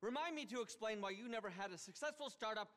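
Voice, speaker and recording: Pompous self-styled visionary billionaire, thirties, high voice, wildly swinging delivery, indignant, video game cutscene. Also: male voice, expressive, fast, a bit bright. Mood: smug, scornful. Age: 30s. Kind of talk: animated game cutscene acting.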